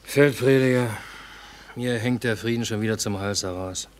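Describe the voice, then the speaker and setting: An actor playing a grumbling, faded middle-aged army cook in a radio play, speaking drily. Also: male voice, lowish voice, moderate pace, natural voice. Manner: dry, grumbling, colloquial. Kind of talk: German radio play acting.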